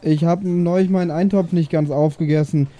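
A man's voice: a little high, fairly even intonation, moderate pace, warm tone, no echo, lo-fi sound. A man improvising casually as a player in a tabletop role-playing game.

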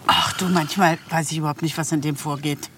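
A woman's voice: sits low, steady tone, brisk, lightly acted. Distant, self-doubting middle-aged woman, played by an actress. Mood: wistful. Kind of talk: crime documentary re-enactment. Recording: distant mic, noisy outdoor ambience.

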